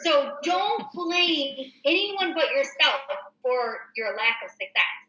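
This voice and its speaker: American female host, very bright voice, informal and explanatory, recorded in an echoey room. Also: high-pitched, slow. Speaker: show host and real estate agent. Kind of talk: casual reading of a newsletter with commentary.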